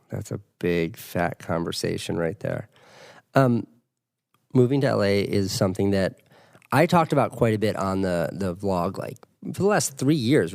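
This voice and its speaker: A man's voice: low-pitched, gravelly, darkish tone, no echo. A man in his thirties co-hosting a casual podcast with his wife, dry and teasing. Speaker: podcast co-host. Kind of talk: casual couple chat. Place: home in New York City.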